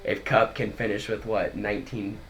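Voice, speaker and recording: Deep-voiced young man with a head cold. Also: flat delivery, moderate pace, fairly bright tone, faint music behind. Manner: chatty, runs through news items. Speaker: podcast co-host. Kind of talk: casual sports podcast chat.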